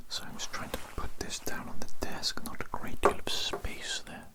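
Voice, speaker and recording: A person whispering softly and breathily close to the microphone in an ASMR roleplay as a polite, reassuring doctor, gently repeating phrases. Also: moderate pace. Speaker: ASMR performer roleplaying a doctor examining an alien.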